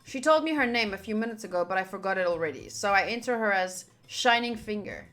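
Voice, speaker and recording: A woman hosting a visual novel playthrough, reading the narration aloud and adding chatty, expressive asides. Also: mid-range voice, fairly quick. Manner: chatty, expressive, reacting fondly to the game. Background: background music.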